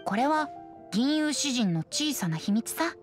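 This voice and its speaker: Playful teenage-sounding boy bard for a game: light, highish, lively, whimsical. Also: a little slow, soft background music. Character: breezy, playful boyish young male bard. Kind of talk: video game voice acting.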